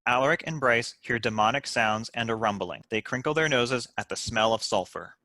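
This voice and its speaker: A man's voice: medium pitch, normal speed, sharp tone, no room sound. A man reading stage directions aloud as scripted narration for a comedy script.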